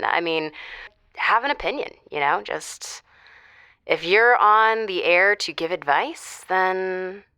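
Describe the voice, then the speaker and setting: A woman's voice: sits low, pushed and bright, clean tone, no echo, full character voice. A woman playing a pushy caller who bluntly needles and teases a radio host. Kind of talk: improvised audio drama.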